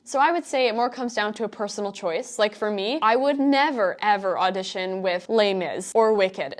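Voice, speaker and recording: A young woman in her twenties, an acting student, giving casual and emphatic audition advice to camera, with lots of "like" and asides. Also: mid-range voice. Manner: chatty, emphatic, full of "like" and asides. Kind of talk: casual tutorial vlog to camera.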